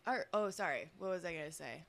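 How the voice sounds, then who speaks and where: A woman's voice: fairly low pitch, animated, fast, creaky. A hungover woman giggling and teasing her friends in casual podcast banter.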